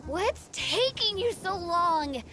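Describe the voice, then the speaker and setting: A woman's voice: very high voice, expressive, slow, sharp tone, faint music behind, heavily acted. A woman voicing an innocent, eager little boy in a video game, childlike and pleading.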